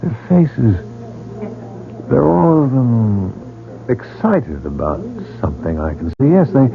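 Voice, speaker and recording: A man's voice: medium pitch, big pitch swings, takes it slowly, vocal fry, heavily acted. A man playing a curious, guarded newcomer to a mysterious club in an old radio drama. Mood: wary. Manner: inquisitive, wary. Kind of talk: 1940s radio drama acting. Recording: phone-like old radio broadcast.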